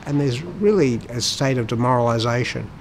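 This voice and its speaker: A man giving reflective commentary on his poetry in formal, deliberate, halting phrases. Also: medium pitch, creaky, noisy background. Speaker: poet and lawyer discussing his own work.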